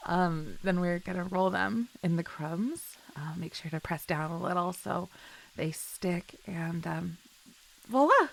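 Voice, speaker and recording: Giggly, creaky-voiced flirty best friend played by a woman. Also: on the low side, animated, measured pace, slight background noise, low-quality recording. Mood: upbeat, encouraging. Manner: giggly, hesitant, casual and affectionate. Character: chill, flirty female best friend shyly confessing love. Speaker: voice actor. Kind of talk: intimate first-person audio roleplay.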